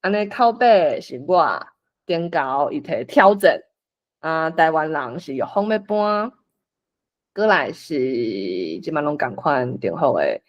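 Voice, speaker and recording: A host with a Taiwanese accent casually organizing people in an online meeting, coaxing and animated. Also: mid-range voice, slow, muffled recording. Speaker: host assigning roles for a script read-along.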